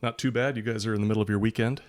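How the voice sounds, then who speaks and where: A man's voice: on the low side, steady tone, racing. A man talking reflectively as a guest in an interview, in an unscripted conversational way. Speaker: guest, author.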